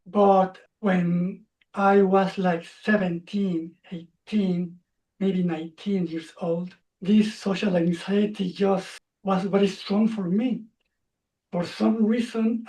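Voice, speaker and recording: Reflective non-native English-speaking male coach in his 40s, mellow tone, sits back from the mic. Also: sits high, far from the mic, low-quality recording. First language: not English. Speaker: social anxiety coach. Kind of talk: interview guest, personal story.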